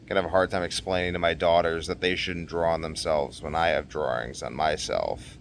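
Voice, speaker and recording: A young man talking casually to camera in a rambling, slangy vlog monologue, animated and given to acting out what people said. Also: sits low, a little fast, gravelly, slight background noise. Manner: animated, exclamatory, slangy, acts out quotes. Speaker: vlogger.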